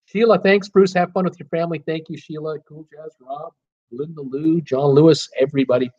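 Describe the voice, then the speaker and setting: A man's voice: fairly high pitch, steady tone, brisk, darkish tone. A man hosting a live cruise Q and A stream, reading viewer comments aloud and replying in a chatty, easygoing way with lots of "uh"s. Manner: reads out chat names and replies in a chatty, easygoing way with many "uh"s. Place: temporary home setup in a city. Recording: dull, lo-fi live-stream audio.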